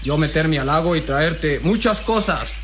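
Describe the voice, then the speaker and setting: An eager, gullible young villager in a melodramatic radio serial. His voice is fairly high and pleading, with simple broken grammar and repeated words. Birds and animal calls sound faintly behind him. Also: animated, a little slow, a bit bright. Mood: pleading, insistent. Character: eager naive young jungle villager craving liquor. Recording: noisy jungle ambience with animal calls.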